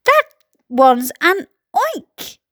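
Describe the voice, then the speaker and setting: A woman's voice: high-pitched, big pitch swings, moderate pace, fairly bright tone, no room sound, clean studio sound, voice pushed up. A woman voicing a panicky male fire chief in a storybook, with stretched, alarmed exclamations. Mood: hysterical.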